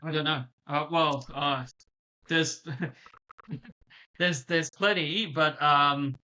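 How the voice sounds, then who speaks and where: Bright-voiced man in casual discussion. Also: fairly high pitch, extremely slow. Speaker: political podcast speaker. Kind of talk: casual conversation.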